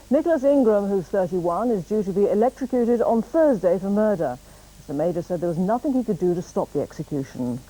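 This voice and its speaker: A woman with a British accent reading scripted news in a formal, measured way. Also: medium pitch, dark tone, rough recording. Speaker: newsreader.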